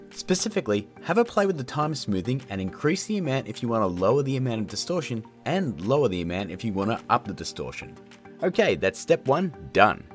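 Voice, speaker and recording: A man in his thirties energetically hosting a software tutorial, chatty and jokey, from a home studio. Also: mid-range voice. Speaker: video tutorial host.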